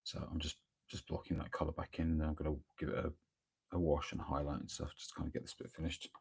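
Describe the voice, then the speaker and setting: A man with a croaky voice from a cold, chatting casually and hesitantly during a morning live stream of his painting, with lots of ums and restarts. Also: very deep voice, flat delivery, quick pace, darkish tone. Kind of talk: casual live-stream chat while working. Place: home workspace, early morning. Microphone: microphone near the speaker.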